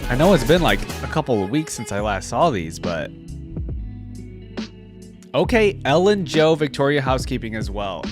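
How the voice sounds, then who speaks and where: A man giving casual, enthusiastic reaction commentary, speaking informally and haltingly. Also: medium pitch, sing-song. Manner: halting, informal, enthusiastic about what he watches. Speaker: reaction video host. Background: faint music from the video he watches.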